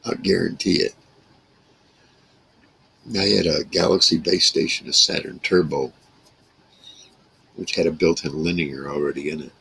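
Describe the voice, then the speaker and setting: A man in his sixties with a rough, gravelly voice, rambling casually to camera in halting phrases. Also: extremely low pitch, fairly even intonation, a bit bright, rough recording. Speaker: vlogger reminiscing about a radio hobby. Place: small wood-paneled room indoors.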